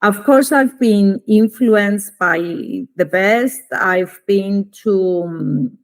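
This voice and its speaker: A middle-aged woman with a Greek Cypriot accent, a native Greek speaker, giving formal, deliberate academic answers as an interview guest, with frequent pauses. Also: medium pitch, rough recording. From Cyprus. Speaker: economics professor, interview guest.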